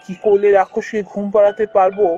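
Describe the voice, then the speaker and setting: A man's voice: sits high, flat delivery, fast, highs cut off, music in the background, lightly acted. A man voicing a kind, earnest young prince in a fairy-tale cartoon. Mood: earnest, worried.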